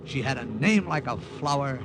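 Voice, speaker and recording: An actor playing an eager, wisecracking American wartime agent and narrator in a 1950s radio drama. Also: male voice, medium pitch, lively intonation, moderate pace, vocal fry, noisy background. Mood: jokey, cocky. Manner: jokey, eager, talkative. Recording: old radio broadcast with music.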